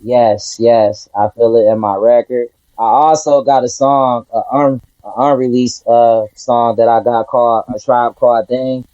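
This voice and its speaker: A man's voice: medium pitch, flat delivery, a little slow, hardly any pauses, warm tone, dry recording, muffled recording. A man from Detroit, a rapper, answering interview questions in a laid-back, earnest way. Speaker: rapper guest.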